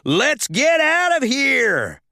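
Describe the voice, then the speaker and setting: A man voicing a friendly, excitable cartoon tow truck with folksy country talk. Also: very high voice, very slow, gravelly, no echo, studio quality, pitched above the performer's own voice, exaggerated voice. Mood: urgent, shouting.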